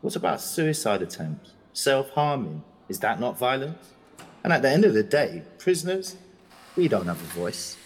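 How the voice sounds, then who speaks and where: A bitter, outspoken English prisoner speaks with a husky, low voice in an audio drama. His pitch swings widely and a long reverb hangs behind him. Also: sing-song, moderate pace. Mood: defiant. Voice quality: bitter, urgent.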